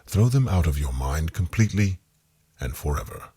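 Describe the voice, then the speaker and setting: A man guiding a hypnotic meditation, reading scripted suggestions in a calming, deliberate manner. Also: rumbling low, husky. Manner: slow-phrased, calming, deliberate, repetitive suggestion. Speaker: hypnosis guide.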